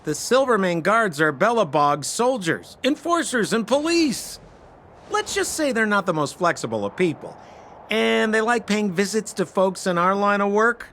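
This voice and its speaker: A flamboyant game rogue with a high, swooping voice. He is glib and wheedling, a sly treasure hunter working his charm, with some noise behind him. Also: very animated, normal speed, a bit bright, heavily acted. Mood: glib, persuasive. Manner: theatrical, ingratiating, glib. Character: flamboyant, sly, wheedling young male treasure-hunting con man. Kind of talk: video game voice acting.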